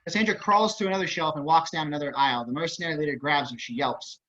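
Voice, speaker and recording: A man with a fairly high, bright voice reads out stage directions in scripted narration, casual and wry. The audio is slightly dull, like a video call. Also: fast. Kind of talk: scripted narration at an online table read.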